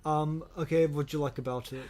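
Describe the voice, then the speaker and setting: A man co-hosting a podcast in casual chat, with a hesitant, halting delivery that often repeats phrases. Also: on the high side, steady tone, a little mellow. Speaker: podcast co-host. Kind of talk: casual chat about video game movies.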